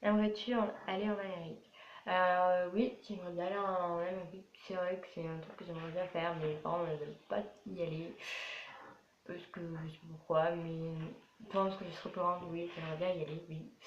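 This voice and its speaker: Echoey recording of a young girl vlogger. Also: fairly low pitch. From France. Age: 12 years old. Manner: rambling, repetitive, informal. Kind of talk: casual FAQ vlog to camera. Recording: roomy echo, dull sound, faint noise.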